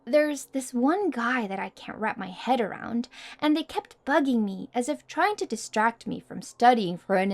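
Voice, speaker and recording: Woman acting a haughty, high-voiced popular girl.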